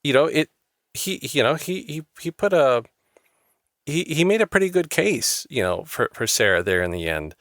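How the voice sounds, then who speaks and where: A man hosting an interview podcast, talking in a halting way with many restarts and fillers. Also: a little high, big pitch swings, fairly bright tone, no echo, clean studio sound. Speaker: podcast host. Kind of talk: interview chat.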